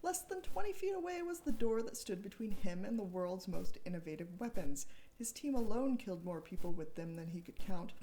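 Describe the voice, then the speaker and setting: A woman's voice: medium pitch, animated, brisk, mellow, slight background noise, poor audio. A woman author giving a dramatic narrated reading of her thriller novel. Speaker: author reading own book.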